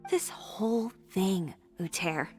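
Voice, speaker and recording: A woman's voice: mid-range voice, slow, a little mellow, natural voice. Actress as proud, scornful fashion designer woman, music behind. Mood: reproachful. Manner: composed, pointed, lecturing. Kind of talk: dramatic video game voice acting.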